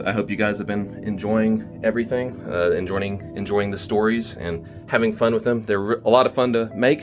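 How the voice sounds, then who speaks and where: A man with a low voice speaks informally, as a creator addressing his audience. He rambles and pauses to think aloud, keeping things conversational. Music plays clearly behind his voice. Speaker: storytelling channel creator and host. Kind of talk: casual, rambling thank-you vlog to listeners. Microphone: phone video recording.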